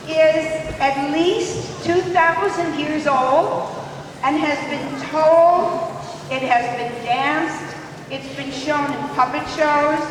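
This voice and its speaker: A woman presenter introducing a school stage show to young people, speaking deliberately in short, emphatic phrases. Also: high-pitched. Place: large indoor school gym. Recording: very echoey hall, distant mic, noisy.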